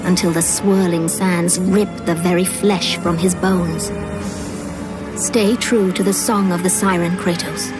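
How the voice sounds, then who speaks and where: An actress playing a solemn, commanding guide who foretells a perilous quest in a video game, grave and portentous. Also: female voice, fairly low pitch, steady tone, a little slow, music in the background, natural voice, poor audio. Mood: solemn, foreboding. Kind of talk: dramatic video game cutscene acting.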